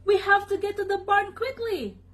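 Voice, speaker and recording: A woman's voice: very high-pitched, normal speed, pitched above the performer's own voice. A woman voicing a frightened, fretful sheep in a children's storybook with anxious, bleating cries. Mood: panicked.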